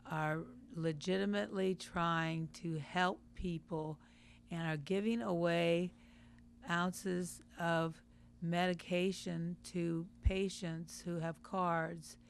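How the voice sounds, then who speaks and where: Husky slow-talking woman caller. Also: little pitch movement, slight background noise. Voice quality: husky, low-sounding voice. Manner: hesitant, many ums. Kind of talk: call-in question.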